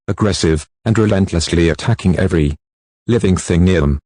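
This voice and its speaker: A man with a low voice reads a scripted emergency announcement. His delivery is formal, measured and impersonal, like an official alert. The sound is dry, with almost no room in it. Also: a little mellow, dry recording.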